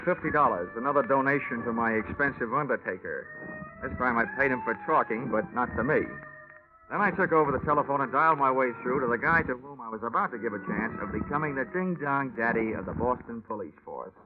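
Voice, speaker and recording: An American actor playing a wisecracking, hard-boiled insurance investigator in an old radio drama, wry, slangy and deadpan. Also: male voice, medium pitch, a little fast, close to an everyday voice. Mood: wry narrating. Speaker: lead actor and narrator. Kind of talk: old-time radio detective acting. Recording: muffled old radio recording, music behind.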